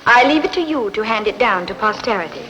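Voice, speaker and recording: A woman's voice: medium pitch, expressive, quick pace, sharp tone, soft background music, rough recording. A woman playing an imperious, ruthless queen in a film dub, with a commanding regal delivery. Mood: regal, assured. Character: imperious, ruthless queen pining for lost lover.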